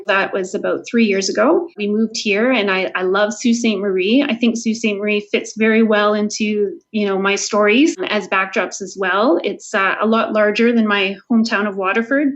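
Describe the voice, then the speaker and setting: A woman's voice: mid-range voice, highs cut off. A woman from Southern Ontario with a Canadian accent, answering interview questions as an author in an earnest, enthusiastic, conversational way.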